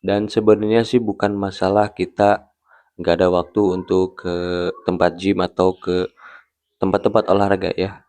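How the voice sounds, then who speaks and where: A man in his early thirties talking casually to camera as a vlogger, in a halting way with frequent tag words at the ends of phrases. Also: deep voice, level tone, a little mellow. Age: early 30s.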